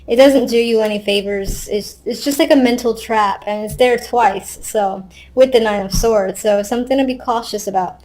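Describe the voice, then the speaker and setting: A woman's voice: a little high, moderate pace, few pauses, a little mellow, poor audio. A woman giving a casual, unscripted tarot reading, thinking aloud conversationally with wry asides. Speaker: tarot card reader.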